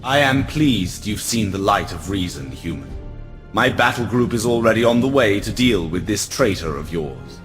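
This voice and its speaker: A proud alien prince in a game cutscene. His voice sits on the low side, and he speaks with measured, lofty formality. Faint music runs underneath. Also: animated, a bit bright. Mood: haughty. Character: proud, aloof male alien elf prince. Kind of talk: video game cutscene acting.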